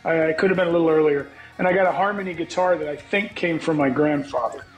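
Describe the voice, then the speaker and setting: A middle-aged man with a scratchy voice answers in a frank, reflective way and swears now and then. His voice sits a little high. The call audio sounds echoey, as if he is in a bare room at home. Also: fast talker, soft background music. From United States. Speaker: guest songwriter. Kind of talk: candid interview talk.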